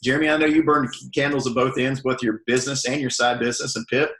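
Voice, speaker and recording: A man's voice: medium pitch, little pitch movement, quick pace, fairly bright tone. A man hosting a casual podcast, moderating the conversation among guests. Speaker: podcast host. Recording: noisy, roomy live call.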